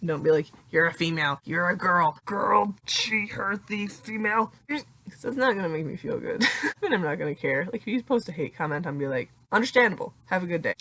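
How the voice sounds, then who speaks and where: A young adult woman with a deeper voice talking casually in a confessional vlog, full of "like" fillers and laughing often. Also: expressive, a little fast, a bit bright, slight background noise. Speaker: vlogger.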